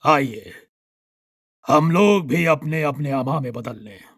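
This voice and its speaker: Man voicing a slow, cunning conqueror king. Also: fairly high pitch, animated, a bit bright, dry recording, clean studio sound, full character voice. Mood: smooth, courteous. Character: cunning, smoothly courteous Persian conqueror king.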